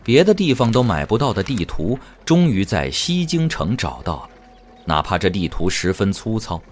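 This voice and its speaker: Low-pitched, animated male narrator. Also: normal speed. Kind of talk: dramatic scripted audiobook narration. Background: music.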